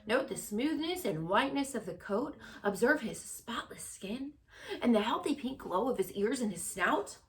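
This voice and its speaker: A woman's voice: on the high side, animated, normal speed, a little hiss or hum, voice pushed up, natural voice, poor audio. A woman voicing a male county-fair announcer who gives a grand, pompous speech about a prize pig, raising her voice above her usual reading tone. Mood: grandiose.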